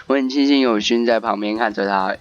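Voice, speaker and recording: A man's voice: mid-range voice, a little fast. A man doing a casual, joking let's-play, reading game text aloud and commenting. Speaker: game streamer. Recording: dull lo-fi mic, game music. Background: faint game music.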